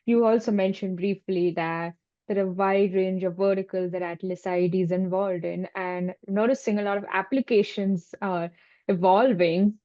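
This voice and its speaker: A woman's voice: medium pitch, fairly even intonation, normal speed, muffled recording. A woman interviewer speaking in a chatty, affirming way as she leads into conversational questions.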